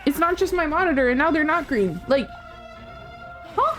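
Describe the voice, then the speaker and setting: A woman streamer reacts out loud to a game in a high, swooping voice. She is casual and exclaims often, swearing freely as she goes. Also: moderate pace, soft background music. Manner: expressive, exclaiming, informal swearing. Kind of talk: live game playthrough commentary, casual reactions.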